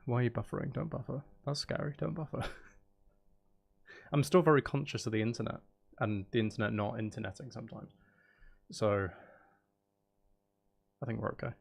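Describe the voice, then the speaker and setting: A man's voice: medium pitch, fairly even intonation, moderate pace, creaky, pauses often. A man hosting a livestream, chatting casually in a rambling, self-interrupting way. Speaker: live streamer, channel host. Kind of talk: casual livestream chat, greeting viewers.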